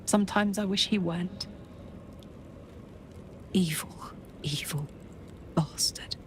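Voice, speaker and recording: Unhurried, brash young woman warrior. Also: lowish voice, a little hiss or hum. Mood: bitter. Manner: heartfelt, informal, emotive. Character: brash, warm-hearted young woman warrior with a painful past. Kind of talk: video game character acting. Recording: game music behind the voice.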